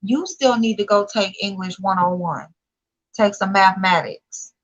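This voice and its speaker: A woman's voice: medium pitch, moderate pace, lo-fi sound. A woman co-hosting a casual gossip chat, talking brashly and filling her lines with "like" and "listen". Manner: brash, animated, fills with "like" and "listen".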